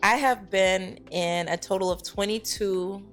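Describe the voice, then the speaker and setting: Young woman from Tennessee, interview guest, mid-pitched conversational storyteller, faint music underneath. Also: fairly even intonation, unhurried, a bit bright. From Tennessee, United States. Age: young adult. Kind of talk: casual interview chat.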